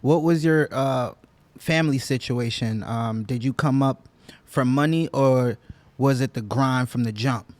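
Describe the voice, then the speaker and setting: A man's voice: medium pitch, a little slow, no echo. Laid-back male podcast host, dry sound. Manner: laid-back, friendly questioning, says "man" often. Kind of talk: casual interview hosting.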